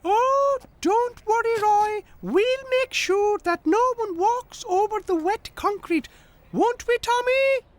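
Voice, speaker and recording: A man voicing an excitable, helpful little talking vehicle in a children's story, with an instructive, emphatic manner. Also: very high voice, slow, pitched above the performer's own voice. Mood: reassuring.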